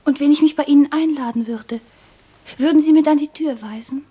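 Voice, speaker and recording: An actress as a high-voiced, gentle young daughter. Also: female voice, a little fast, lightly acted. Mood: gentle pleading. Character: young daughter of a caretaker, gentle but forward. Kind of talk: German radio play acting.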